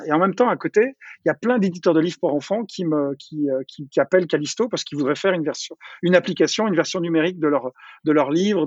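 A man's voice: on the high side, husky, no room sound, lo-fi sound. A middle-aged man talking casually in an interview, chatty and full of restarts and repeated words. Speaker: entrepreneur interviewee.

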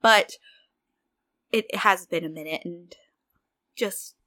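A woman's voice: on the low side, slow, bright, forceful tone. A woman co-hosting a casual podcast, bantering with self-deprecating humour. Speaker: podcast co-host.

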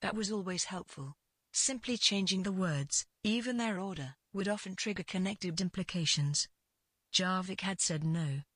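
A woman narrates scripted fiction in a lowish, husky voice. Her phrasing is deliberate, with pauses between short clauses. The sound is close and dry, with no room to it. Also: fairly bright tone, no room sound.

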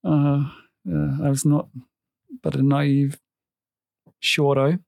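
A man's voice: on the high side, flat delivery, slow, gravelly, a little mellow, no echo. A young man co-hosting a casual podcast, cracking crude jokes and swearing freely. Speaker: podcast co-host. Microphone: headphones and microphone.

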